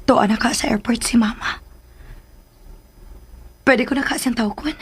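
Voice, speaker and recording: An actress playing a wistful young daughter who sighs and thinks aloud in a Philippine radio drama. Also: female voice, a little high, normal speed, lightly acted. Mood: wistful, restless. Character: wistful young daughter missing her mother.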